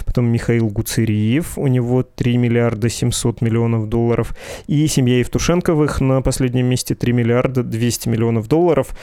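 A man's voice: medium pitch, fairly even intonation, normal speed, dark tone, clear, resonant tone, dry recording, clean studio sound. A man hosting a podcast in a conversational style, wordy and wry with playful asides. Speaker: podcast host.

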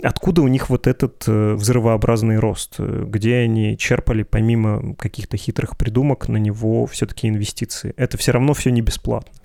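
Gravelly male interviewer, dry close sound. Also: on the low side, level tone, fairly quick, few pauses, warm tone, no room sound. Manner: wordy, musing, sums up then asks. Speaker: interviewer, host. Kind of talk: conversational interview, analytical questions.

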